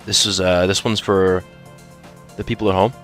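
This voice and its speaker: A man's voice: deep voice, little pitch movement, moderate pace, fairly bright tone, faint music behind. A man voicing a teasing, slightly clueless warrior cat who casually reads out questions in an improvised fan Q&A. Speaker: question reader and sidekick. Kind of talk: improvised character Q&A in casual chat.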